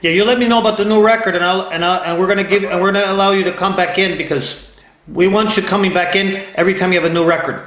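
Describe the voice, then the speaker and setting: Male interview host from Ohio, high voice, eager, restarts a lot; echoey room. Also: steady tone, fast, a bit bright. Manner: eager, repeats and restarts phrases. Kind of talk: casual interview.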